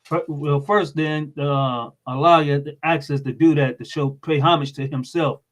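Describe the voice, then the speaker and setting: A man's voice: fairly high pitch, fairly even intonation, a little slow. An American man speaking as a guest in an interview, explaining things in a plain-spoken, informal way. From United States.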